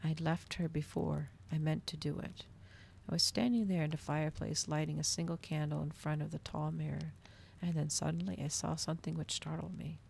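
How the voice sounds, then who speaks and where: A woman giving an ASMR reading of a story in a soft, hushed, close delivery. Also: low-pitched, vocal fry, slight background noise. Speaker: streamer hosting a live reading.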